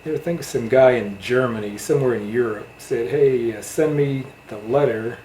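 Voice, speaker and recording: Middle-aged man, American accent, casual interviewer, medium pitch, roomy echo, faint noise. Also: moderate pace, dark tone. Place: indoor room at a home.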